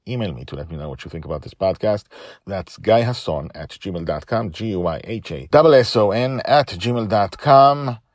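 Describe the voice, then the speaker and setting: A man's voice: on the low side, expressive, normal speed. A man hosting his own story podcast, narrating and talking informally in a dramatic, emphatic way.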